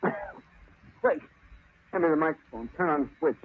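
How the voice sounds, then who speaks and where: An actor playing a dying voice impersonator gasping out a halting confession in old-time radio style. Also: male voice, fairly high pitch, huge pitch swings, far from the mic. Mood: strained, halting. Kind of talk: 1940s radio acting. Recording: tinny, echoey old-time radio recording.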